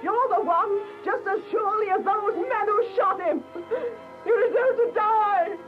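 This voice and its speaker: A woman's voice: extremely high pitch, unhurried. A woman playing a hateful mother who spits accusations at her own child in a radio drama. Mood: hateful, accusing. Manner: spitting words with hatred. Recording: tinny phone-like audio, loud music.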